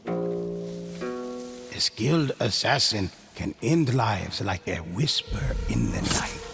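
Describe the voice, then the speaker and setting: A grave male narrator of legends in a game. His low, gravelly voice moves at a very slow, deliberate pace. Loud music plays under him. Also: very animated, heavily acted. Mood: solemn. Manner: slow, grave, measured delivery.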